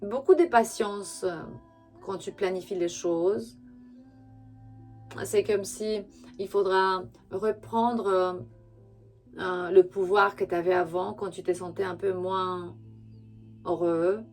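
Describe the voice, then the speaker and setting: A woman who is not a native French speaker gives an intuitive tarot reading straight to the listener, halting as she searches for words. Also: medium pitch, fairly even intonation, faint music behind. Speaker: spiritual tarot reader and channel host.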